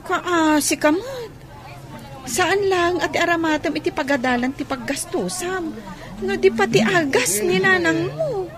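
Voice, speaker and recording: A woman's voice: very high-pitched, expressive, a little slow, a crowd in the background. A woman playing a sympathetic, chatty young friend in a radio drama, with a Filipino accent.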